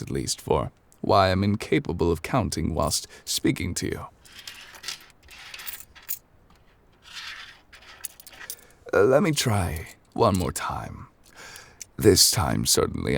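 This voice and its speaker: A man voicing a calm, scholarly, absent-minded ancient god in an ASMR audio roleplay. He speaks close to the microphone in a formal, measured, old-fashioned way and sometimes drops to near whispers. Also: deep voice, creaky, full character voice. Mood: musing.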